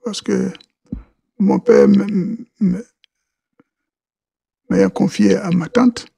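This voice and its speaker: Slow, gravelly man from Côte d'Ivoire. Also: sits high, huge pitch swings, dark tone, no echo. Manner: halting, repeats words and restarts often. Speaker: podcast guest. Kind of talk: interview answers.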